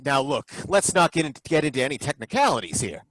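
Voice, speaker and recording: An actor playing a skeptical license inspector in an old-time radio drama, blunt and doubting. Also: male voice, fairly high pitch, very animated, quick pace, fairly bright tone, no room sound.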